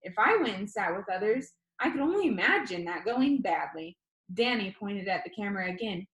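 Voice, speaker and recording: A woman reading a children's novel aloud as expressive first-person audiobook narration. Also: medium pitch, moderate pace, reverberant. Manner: expressive read-aloud storytelling.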